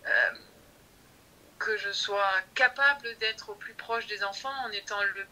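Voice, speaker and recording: A woman's voice: mid-range voice, unhurried, bright, forceful tone, low-quality recording. A woman speaking as a podcast guest about her teacher training, thoughtful and hesitant, often restarting her sentences. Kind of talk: conversational interview.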